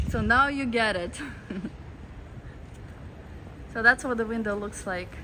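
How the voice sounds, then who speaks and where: A woman's voice: a little high, slow pace, sharp tone, low-quality recording. A woman hosting a live-stream walking tour, chatting casually to her viewers while walking outdoors in a city. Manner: chatty, reads out chat comments. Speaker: travel vlogger. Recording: street noise and traffic behind.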